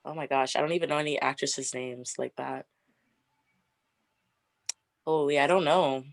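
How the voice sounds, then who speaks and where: A young woman in her twenties with a deep, raspy voice, chatting casually as an interview guest and laughing often. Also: steady tone, moderate pace, dry recording. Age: 20s. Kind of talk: casual get-to-know-me interview.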